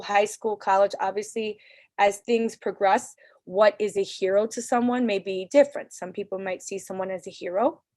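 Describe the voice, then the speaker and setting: A woman's voice: mid-range voice, dry recording. A woman from New City, leading a parent council meeting over a video call in a casual, earnest way with frequent restarts. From New York City. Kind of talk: virtual community meeting talk.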